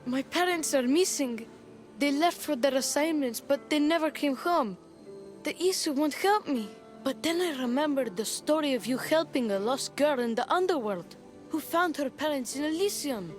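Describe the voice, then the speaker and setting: A woman's voice: high voice, lively intonation, normal speed, faint music behind. An actress playing a small, polite, earnest and worried young boy in a video game. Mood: worried, hopeful. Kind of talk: dramatic video game acting.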